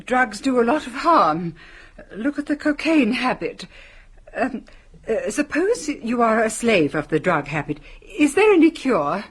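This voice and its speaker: Prim, husky British housekeeper. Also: female voice, a little high, big pitch swings, measured pace, full character voice. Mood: guarded, probing. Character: prim, uneasy housekeeper with guarded curiosity. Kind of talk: radio drama acting.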